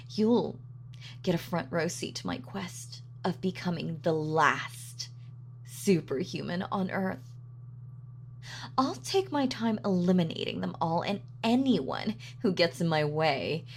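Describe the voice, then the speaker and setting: A woman's voice: lowish voice, a little hiss or hum. A woman playing a gloating, sadistic supervillain in an audio roleplay, with a mock-sweet, sardonic, taunting manner. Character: gloating female supervillain, sadistic, darkly playful. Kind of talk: villain monologue in audio roleplay.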